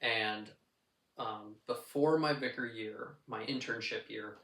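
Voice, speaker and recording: A young man from Texas, a pastor, telling stories in a relaxed, easygoing way. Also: lowish voice, slow pace, vocal fry. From Texas, USA. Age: young adult. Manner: relaxed storyteller, easygoing and joking. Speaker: pastor and podcast guest. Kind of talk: casual interview talk. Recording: roomy echo, mic at a distance.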